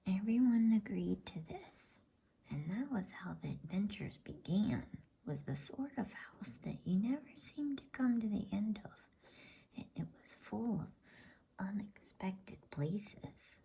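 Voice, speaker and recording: A woman softly reading a bedtime story as ASMR, in a hushed, breathy, mostly unvoiced whisper. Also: mid-range voice, lively intonation, slight background noise, low-quality recording. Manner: soft, hushed, unhurried delivery.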